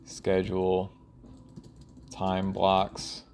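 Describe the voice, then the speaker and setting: A man thinking aloud in a rambling, casual audio log, halting and often trailing off mid-thought. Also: sits low, completely flat delivery, gravelly, darkish tone, clear, resonant tone. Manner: casual, profane.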